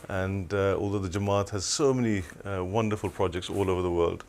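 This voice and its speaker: Older man from Tanzania, very low voice, reflective studio guest, halting and full of restarts. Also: steady tone, darkish tone. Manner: reflective, hesitant, many restarts. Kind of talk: telethon studio interview.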